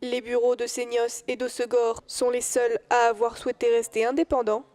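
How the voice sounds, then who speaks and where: A woman's voice: on the high side, flat delivery, normal speed, darkish tone. A woman reading scripted news narration as a reporter.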